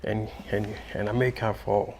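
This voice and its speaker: Gravelly man, full of fillers. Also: on the low side, little pitch movement, moderate pace, darkish tone, slight background noise. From Haiti. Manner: hesitant, heavy with fillers. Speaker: interview guest, adult English student.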